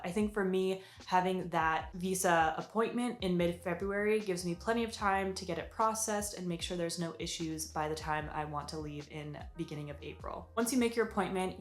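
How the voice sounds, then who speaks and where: A woman's voice: lowish voice, roomy sound. A young American woman chatting upbeat and casual in an advice vlog, with lots of fillers. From United States. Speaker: vlogger.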